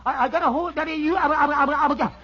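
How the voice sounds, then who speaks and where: An actor playing an excitable, boastful little man who stammers and repeats words in a 1940s radio sitcom. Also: very high voice, brisk, very noisy. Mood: flustered. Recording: muffled vintage radio recording.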